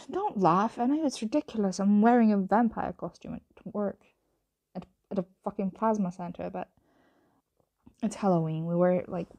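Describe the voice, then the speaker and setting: A woman playing a tired, secretive vampire roommate in an ASMR roleplay, speaking softly with hesitant, evasive stammers. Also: mid-range voice. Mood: wry, tired. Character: flustered young female vampire roommate, tired, hungry, secretive. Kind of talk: ASMR roleplay, soft conversational acting.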